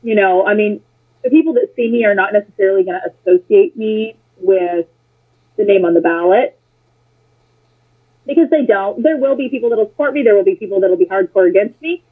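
A woman's voice: mid-range voice, a little fast, breathy edge. An American woman chatting casually and telling opinionated stories, blunt and sarcastic, swearing freely, on a muffled, lo-fi connection. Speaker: interview guest, former political candidate. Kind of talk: casual conversation, opinionated anecdotes. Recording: muffled lo-fi phone-like sound.

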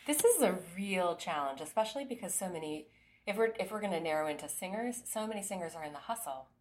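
Low-pitched woman interviewer. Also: lively intonation, quick pace, darkish tone. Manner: encouraging, frequent affirmations. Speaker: host. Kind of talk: interview questions.

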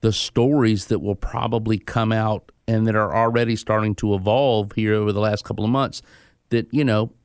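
A man hosting a radio interview, asking questions in a measured, conversational way. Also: on the low side, steady tone, clean tone, dry recording, clean studio sound. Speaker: radio show host.

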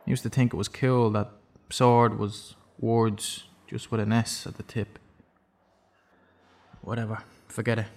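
A young man acting in an audio drama as a defensive, sardonic prisoner, awkwardly apologetic, terse and halting. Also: on the low side, level tone, darkish tone.

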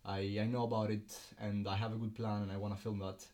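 A man's voice: on the low side, little pitch movement, quick pace, dark tone. A young man from Greece, a filmmaker and student guest, talking casually with many ums and restarts. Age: young adult. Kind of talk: casual interview.

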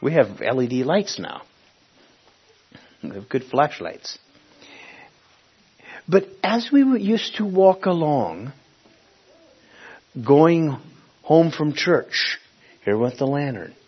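Husky-voiced male preacher from Honduras. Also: a little high, big pitch swings. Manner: conversational, halting, searching for words. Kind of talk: sermon with personal storytelling. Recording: dull, muffled lo-fi recording.

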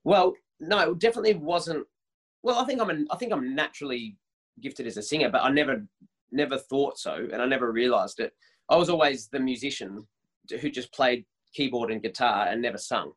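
Man in his thirties, eager and chatty. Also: a little fast. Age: 30s. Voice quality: higher voice. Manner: enthusiastic, many restarts and repeated words. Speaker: worship leader. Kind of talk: casual podcast interview.

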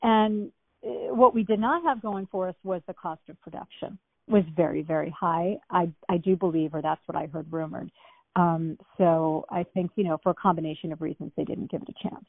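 Chatty actress on a tinny line. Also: female voice, fairly low pitch, steady tone, moderate pace, no room sound. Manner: chatty, rambling, many fillers and restarts. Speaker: actress guest. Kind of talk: casual interview talk.

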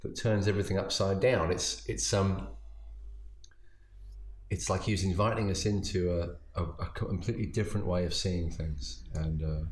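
A man, a pastor, casually summarizing his sermon, often halting and repeating words as he thinks. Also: sits low, steady tone. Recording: roomy echo.

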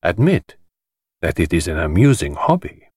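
Flamboyant creepy posh party host, super theatrical, mocking drawl, pitch jumping all over, audiobook style. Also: male voice, big pitch swings, normal speed, darkish tone, husky, full character voice. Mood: grandly boastful. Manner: theatrical, hissing, drawling affectation. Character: flamboyant, mocking, sinister middle-aged male party host. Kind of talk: dramatised audiobook dialogue.

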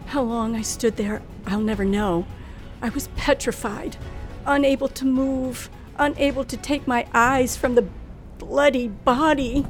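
An actress playing a nervous, frightened young woman driver who tells her ordeal in a breathless, suspenseful old radio thriller style. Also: high voice. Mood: horrified. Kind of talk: old-time radio thriller acting and first-person narration. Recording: vintage radio drama with music underneath.

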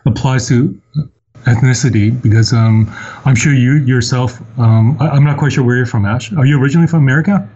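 A man from Hong Kong speaking as a podcast guest, thoughtful and measured with some hesitation. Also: medium pitch, mellow, breathy edge, highs cut off. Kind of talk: casual interview talk.

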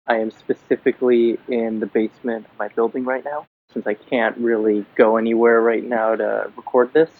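A man's voice: medium pitch, flat delivery, moderate pace, few pauses. Man on a thin phone-like line. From Miami, Florida. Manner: thoughtful, many fillers and restarts. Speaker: guest, comic book writer. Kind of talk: podcast interview. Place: building basement. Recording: dry, phone-like remote line.

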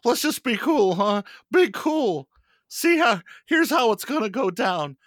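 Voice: medium pitch, sing-song, measured pace, no echo, studio quality. A performer voicing a scheming, desperate rabbit mascot in a spoof cartoon cereal commercial, melodramatic and menacing. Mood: tense, cornered.

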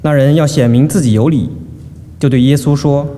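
Dark-toned man reading scripture. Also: medium pitch, fairly even intonation, fairly quick. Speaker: scripture reader. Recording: long hall reverb, faint room noise.

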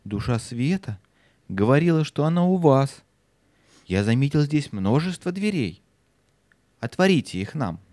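Man as a brave fairy-tale boy, medium pitch. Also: very animated, a little slow, pauses often, a little mellow, dry recording. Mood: determined. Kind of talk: audio play acting.

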